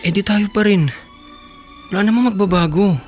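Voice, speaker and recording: An actor playing an earnest, devoted young boyfriend in a radio drama, speaking sincerely and reassuringly. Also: male voice, high voice, moderate pace, a little mellow, natural voice. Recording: music bed behind voice.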